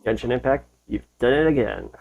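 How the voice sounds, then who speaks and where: A man hosting a casual reaction video, reading text aloud a little haltingly and going back over phrases to correct himself. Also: mid-range voice, wildly swooping pitch, creaky, a little mellow, muffled recording.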